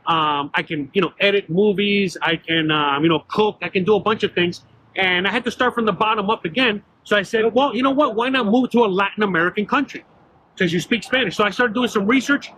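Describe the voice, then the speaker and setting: A man's voice: high-pitched, sharp tone, a little hiss or hum, rough recording. A middle-aged man from Miami telling his story in a casual walk-and-talk interview, full of fillers and restarts, recorded outdoors on a city street. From Miami, Florida. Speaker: YouTuber guest.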